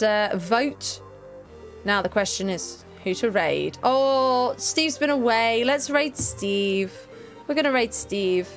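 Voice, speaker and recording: A woman from the UK with a fairly high voice, a streamer wrapping up her live stream in easy, chatty talk. She sounds upbeat and laughs often. Faint music plays quietly behind her. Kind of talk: casual stream outro chat.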